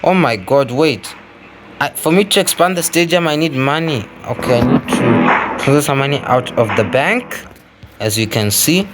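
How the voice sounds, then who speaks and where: A man's voice: medium pitch, expressive, normal speed, loud music behind the voice. A man hosting a gaming video, giving casual gameplay commentary as he thinks aloud, repeating phrases and addressing viewers. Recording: clearly noisy home recording.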